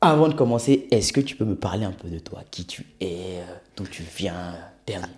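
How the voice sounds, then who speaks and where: A man's voice: deep voice, expressive, a little fast, a little mellow. A man hosting a podcast interview, speaking casually and hesitantly with many fillers. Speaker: podcast host.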